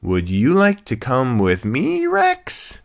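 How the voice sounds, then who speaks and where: A man's voice: medium pitch, huge pitch swings, slow, creaky, voice pushed up, full character voice. A man voicing a relentlessly happy, friendly hippopotamus in a children's story, with exuberant sing-song cheer. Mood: chirpy, helpful.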